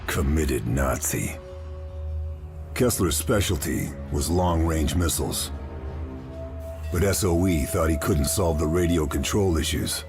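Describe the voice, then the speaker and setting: An actor playing a grim Second World War sniper agent, narrating missions in a terse, grave way. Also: male voice, rumbling low, vocal fry, heavily acted. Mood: clipped, serious. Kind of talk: scripted cinematic mission briefing narration.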